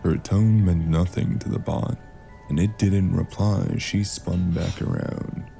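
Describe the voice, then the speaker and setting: A man narrating a scripted noir story in a brooding, measured, dramatic manner. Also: sits low, fairly even intonation, vocal fry, dark tone, faint music behind.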